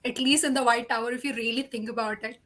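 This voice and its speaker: A woman with a high voice and a bright, keen edge talks casually in a book club panel. She presses her points emphatically and tends to repeat them as she argues. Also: fairly even intonation, quick pace.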